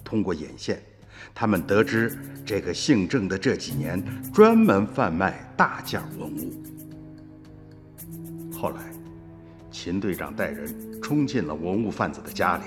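A man's voice: deep voice, sing-song, measured pace. A man playing an old peasant grandfather in a radio drama, earthy and colloquial, emotional in his storytelling. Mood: recounting. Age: older. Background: music.

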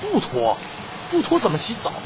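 An actor playing a caring but pushy young male teacher in a radio drama, brisk, blunt and urging. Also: high-pitched, wildly swooping pitch, dull audio, background noise. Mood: insistent.

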